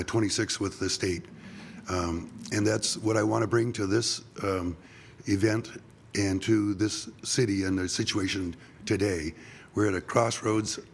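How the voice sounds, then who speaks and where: An older man running for office, giving a halting forum statement, his voice scratchy from a cold. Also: lowish voice, creaky. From Seattle. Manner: halting, many ums and uhs. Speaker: political candidate.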